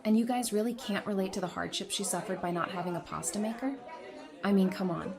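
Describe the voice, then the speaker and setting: A woman's voice: mid-range voice, quick pace, gravelly, a crowd in the background, natural voice. A woman playing a friendly, easygoing survivor in an audio drama, chatty, informal and reassuring. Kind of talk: fan audio drama acting, casual dialogue.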